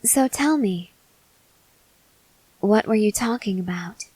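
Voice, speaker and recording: A woman playing a possessive, softly menacing female vampire lover in an intimate, measured ASMR roleplay that slips into breathy whispers. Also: mid-range voice, expressive, no room sound, rough recording. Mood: probing, affectionate. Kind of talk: ASMR roleplay acting, addressed to the listener.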